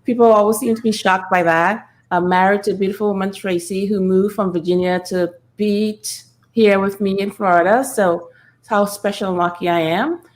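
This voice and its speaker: A woman's voice: mid-range voice, fairly even intonation, moderate pace. A middle-aged woman, explaining earnestly and conversationally as an interview guest. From Trinidad. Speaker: podcast guest, speaker and nonprofit founder.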